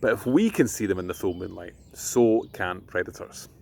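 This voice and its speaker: A man voicing a gentle, knowledgeable mother who explains things patiently in a storybook. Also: deep voice, animated, moderate pace, gravelly, a little hiss or hum.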